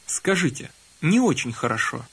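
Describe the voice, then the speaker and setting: A man's voice: fairly high pitch, very animated, moderate pace, slightly rough. A man narrating a language course in a scripted, instructive way, prompting the listener to listen and repeat.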